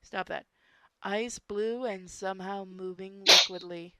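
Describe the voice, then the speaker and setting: A woman's voice: medium pitch, steady tone, measured pace, heavy background noise. A woman reading a horror story aloud as an amateur, informal, stumbling over words and breaking off into casual asides. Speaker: story reader.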